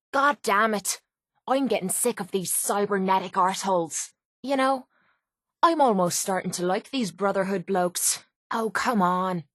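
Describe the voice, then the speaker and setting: A woman's voice: mid-range voice, no room sound, clean studio sound, lightly acted. A woman playing a cynical, defiant young female brawler in a video game, with an Irish accent and a blunt, sardonic, slangy delivery. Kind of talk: video game character dialogue.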